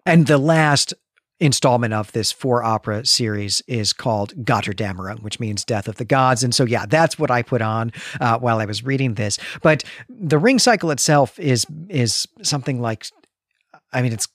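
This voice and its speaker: A man's voice: mid-range voice, lively intonation, moderate pace, no room sound, studio quality. A man co-hosting a podcast, chatting casually and with enthusiasm about what he has been reading. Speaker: podcast host. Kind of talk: casual book discussion podcast.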